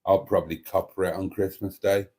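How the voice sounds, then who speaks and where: A man hosting a casual live chat and reading out viewer comments, with a wry, deadpan delivery. He is snotty and has a cough. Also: low-pitched, fairly quick, breathy edge. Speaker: livestream host reading chat.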